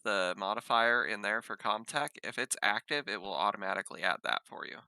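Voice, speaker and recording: A man's voice: fairly low pitch, lively intonation, fairly quick, sharp tone, clear, resonant tone, no room sound. A man talking casually as a tabletop game player, explaining things in a practical way. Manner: helpful explaining of rules. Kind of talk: casual chat.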